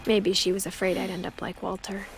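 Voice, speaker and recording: A woman's voice: medium pitch, completely flat delivery, fairly quick, vocal fry, a little hiss or hum, natural voice, rough recording. An actress playing a reflective young woman, narrating family secrets in a video game in a subdued, thoughtful way. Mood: pensive. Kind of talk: quiet scripted game narration.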